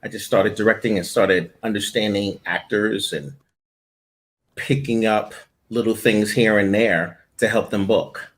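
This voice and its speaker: A man of middle age with a somewhat low voice talks through his coaching work in a relaxed interview. Now and then he slips into playing out the notes he gives actors. His tone is loose and conversational. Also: unhurried. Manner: animated storyteller who acts out booth directions. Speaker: voice-over audition director and coach. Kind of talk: casual interview talk.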